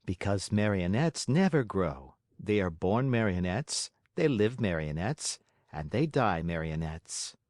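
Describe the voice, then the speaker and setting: A man voicing a kindly, motherly fairy woman in a storybook, speaking in a gentle, measured, teasing way. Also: low-pitched, big pitch swings, no echo, studio quality. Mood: gently teasing. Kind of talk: audiobook character acting.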